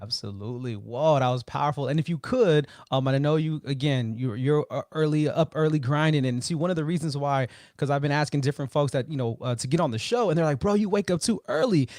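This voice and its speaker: A man's voice: a little high, fast, few pauses, darkish tone, no echo, studio quality. A man hosting a motivational livestream, chatting informally and in a brotherly way as he addresses his viewers. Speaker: livestream host. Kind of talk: casual motivational livestream chat.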